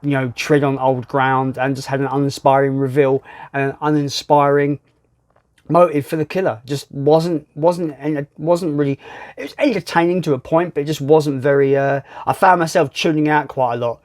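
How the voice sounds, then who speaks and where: A man's voice: a little high, steady tone, normal speed, darkish tone. A man reviewing films casually, blunt and sweary, repeating himself for emphasis. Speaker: film reviewer, video host. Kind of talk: casual film ranking commentary.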